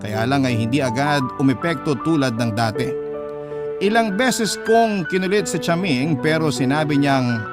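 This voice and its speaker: A man with a Filipino accent narrating a story dramatically in the first person, as a radio storyteller. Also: medium pitch. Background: music bed.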